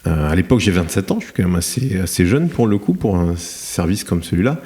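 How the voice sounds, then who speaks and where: A man's voice: low voice, lively intonation, brisk, dark tone. A middle-aged French man talking about his career in a podcast interview, in a hesitant way with many fillers and restarts. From France. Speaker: guest, cement plant director. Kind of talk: podcast interview, reflective storytelling.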